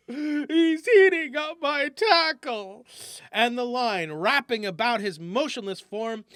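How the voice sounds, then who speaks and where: A man reading a story aloud, lively and expressive, lifting his voice for the characters' shouts. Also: no room sound, clean studio sound. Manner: lively, raises pitch and volume for shouted character lines.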